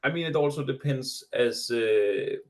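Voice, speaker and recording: A man's voice: medium pitch, measured pace, a little mellow. A man on an online education panel, thinking aloud with frequent restarts and hesitations.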